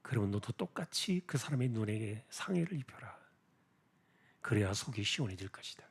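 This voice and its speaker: Husky male preacher with animated, swinging pitch. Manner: explanatory, rhetorical questions, deliberate emphasis. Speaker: pastor preaching at a church prayer meeting. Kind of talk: expository sermon.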